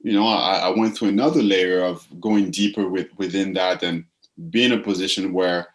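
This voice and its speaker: A man from the Congo speaks as a guest in a casual interview. His voice sits low. He talks reflectively and often repeats a word while he finds the next one. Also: fairly even intonation, moderate pace. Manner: reflective, repeats words while searching for phrasing. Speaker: guest, purpose coach.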